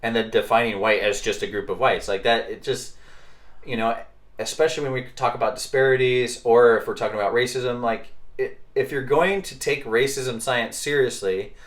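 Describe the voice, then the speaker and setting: A man's voice: mid-range voice, steady tone, normal speed. A man hosting a podcast, talking casually with lots of fillers and rhetorical questions. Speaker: podcast host. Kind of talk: casual discussion.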